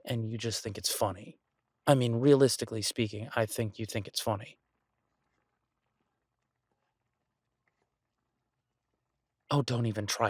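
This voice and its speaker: A man's voice: mid-range voice, darkish tone, no room sound, studio quality, natural voice. Exasperated but affectionate boyfriend chef, acted by a man. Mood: exasperated, teasing. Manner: ranting, incredulous, emphatic with repeated words. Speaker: audio roleplay performer. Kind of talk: boyfriend roleplay audio, conversational monologue to a listener.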